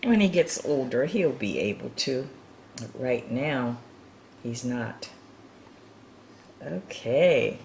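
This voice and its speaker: A woman's voice: a bass voice, lively intonation, slow pace, pauses often, a little mellow, slight background noise. A woman reading a picture book aloud to young children, with chatty, encouraging asides and chuckles over the pictures. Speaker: children's storytime reader.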